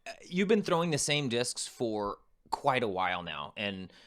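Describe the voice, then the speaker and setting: Bright-voiced male interviewer. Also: medium pitch, sing-song. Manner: upbeat, quick, asks rambling questions. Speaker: interviewer, channel host. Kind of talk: casual interview chat.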